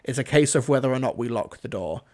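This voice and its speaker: A man's voice: mid-range voice, lively intonation, fast talker, a little mellow. Enthusiastic man giving a rambling review. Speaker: reviewer, livestream host. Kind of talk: casual off-the-cuff review commentary.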